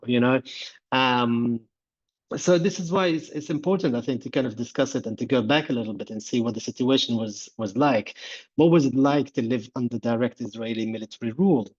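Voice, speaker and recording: Earnest author, dry lo-fi sound. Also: male voice, mid-range voice, a little mellow, no echo. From Gaza, Palestine. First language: Arabic. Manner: earnest, explanatory, repeats words while searching for phrasing. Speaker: author being interviewed. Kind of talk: conversational interview answer. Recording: dull, dry lo-fi recording.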